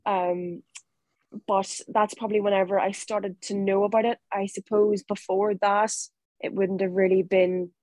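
Young northern irish woman chatting on a video call, pretty flat delivery, dead dry sound. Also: medium pitch, normal speed, dry recording. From Northern Ireland. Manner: relaxed, chatty, laughs easily. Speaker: rugby player guest. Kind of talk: casual interview answers. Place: home room, video call.

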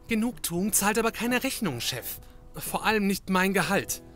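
An actor playing an eager, dry-witted young assistant to a detective in a comedy audio drama, with a nagging, excitable manner. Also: male voice, sits high, moderate pace, faint music behind.

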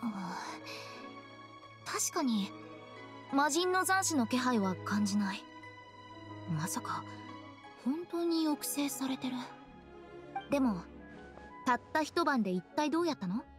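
A woman's voice: high-pitched, sing-song, slow pace, pauses often, faint music behind, exaggerated voice. A woman playing a playful, shrewd young funeral parlor director in a Japanese anime game, speaking casually and expressively. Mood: curious, probing.

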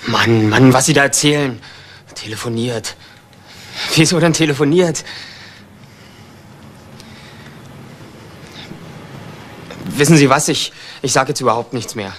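A man's voice: medium pitch, moderate pace, fairly bright tone, natural voice. An actor playing a cheeky, defiant young homeless man in a 1980s German crime radio drama, talking in colloquial, indignant, repetitive bursts. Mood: defiant, evasive. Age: young adult. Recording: noisy outdoor street scene with traffic.

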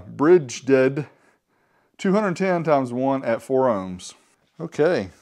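Host type: man, forties, easygoing unboxing patter, mid-pitched, jokes to himself. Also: a little mellow. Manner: chatty and joking, talks to himself. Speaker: host reviewing car audio gear. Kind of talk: casual product unboxing and review.

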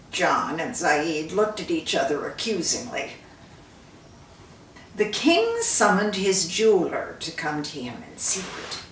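An older woman reading a story aloud expressively, with deliberate, measured phrasing. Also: on the low side, fairly bright tone, slight background noise. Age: older, 60s or 70s. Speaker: storyteller, reader. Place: living room by a fireplace. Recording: roomy echo, distant microphone.

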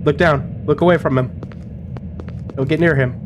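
A man's voice: a little high, normal speed, slight background noise. A brash man in casual gaming chat, repeating himself as he talks to his teammates. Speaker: gamer in a group online horror game.

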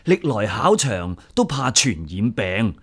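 A man's voice: fairly low pitch, very animated, takes it slowly, heavily acted. A man with a Hong Kong Cantonese accent playing a deferential, superstitious exam hall attendant in a radio drama, respectful and obsequious.

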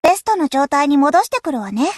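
Very high-pitched proud anime warship girl. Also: sing-song, a little mellow, dry recording, clean studio sound. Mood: confident, eager. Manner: brisk, self-assured, haughty. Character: proud, tsundere young warship girl, confident and bossy. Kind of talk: anime game character voice lines.

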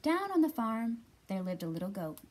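Lady doing library storytime for little kids, kinda high voice w a breathy husky touch, expressive. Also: normal speed. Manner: expressive, clearly paced storytelling for children. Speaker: children's librarian and storytime host. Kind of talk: picture book read-aloud and storytime talk for young children.

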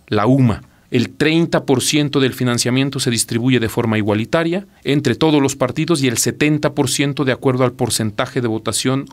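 A middle-aged man with a Mexican Spanish accent reads a formal script at a measured pace. He speaks close to a studio microphone, in the clear, explanatory manner of a court legal officer. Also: medium pitch. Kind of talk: scripted informative narration. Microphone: studio mic on boom arm.

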